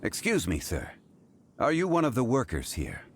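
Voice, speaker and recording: An actor playing a composed, learned man who reasons calmly and formally in a game. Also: medium pitch, a little hiss or hum. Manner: calm, formal, measured reasoning. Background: soft game music.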